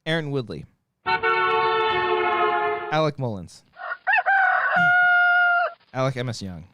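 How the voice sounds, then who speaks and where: A man's voice: medium pitch, big pitch swings, slow pace, over loud music. A man hosting a podcast, chatting casually and reading out names and thanks to supporters. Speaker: podcast host.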